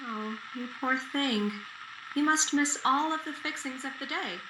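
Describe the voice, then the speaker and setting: A woman's voice: sits high, measured pace. A woman playing a kind, courteous, sweet-tempered neighbour in a radio drama. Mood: sympathetic, kind. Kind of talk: golden-age radio drama acting. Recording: roomy echo, noisy background.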